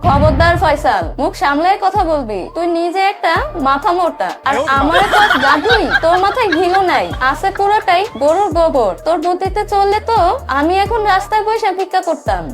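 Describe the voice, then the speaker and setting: A woman voicing a sharp-tongued, quarrelsome village woman in a cartoon, scolding and sarcastic, with a Bangladeshi accent. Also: extremely high pitch, expressive, brisk. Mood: feisty, mocking. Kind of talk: Bengali cartoon voice-over. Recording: music behind the voice.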